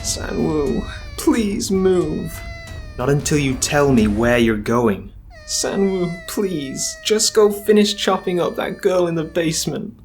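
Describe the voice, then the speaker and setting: A man voicing a fearful young husband and mother who pleads in a high, strained voice. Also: lively intonation, measured pace, a little hiss or hum. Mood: tearful pleading. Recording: faint music underneath.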